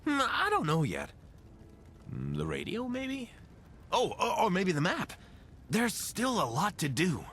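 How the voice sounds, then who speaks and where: An actor playing a wry, wounded wasteland companion in a video game, speaking in a casual, hesitant way. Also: male voice, high-pitched, huge pitch swings, unhurried, pauses often, fairly bright tone, slight background noise, heavily acted. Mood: casual, evasive.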